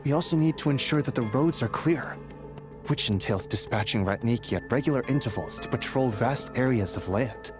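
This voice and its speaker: A man's voice: mid-range voice, moderate pace, natural voice. Man playing an earnest young squad leader over music. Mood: serious. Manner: earnest, friendly, explanatory. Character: earnest, dutiful young male squad leader of a guard order. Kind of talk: English video game character acting. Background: background music.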